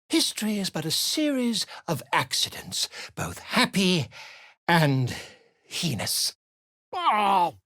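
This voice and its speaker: A man's voice: high-pitched, a bit bright, full character voice. An actor playing a flamboyant, chatty male bard in a video game, theatrical and self-important in his flourishes. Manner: theatrical, grandiloquent, toast-giving flourish.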